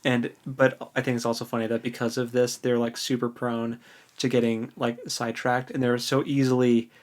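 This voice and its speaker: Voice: medium pitch, little pitch movement, normal speed. Co-host for a book podcast, mid-range speaking voice, informal chat, conversational interjections. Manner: conversational, chiming in. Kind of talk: casual book discussion.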